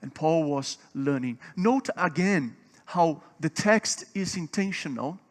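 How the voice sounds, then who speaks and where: Guy giving a seminar talk, bit high pitched, gets loud and emphatic, asks the crowd stuff. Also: lively intonation, slow pace. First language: Portuguese. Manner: emphatic, swelling louder on key points; asks the audience questions. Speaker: seminar speaker. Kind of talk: conference seminar teaching.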